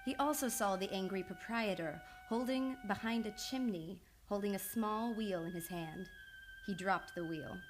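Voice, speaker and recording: A woman acts out a shrewd young female psychoanalyst in a radio thriller. Her mid-pitched voice stays composed and measured. She lays out each point with assured, matter-of-fact precision. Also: music in the background, natural voice. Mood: calm, analytical. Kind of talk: old-time radio drama acting.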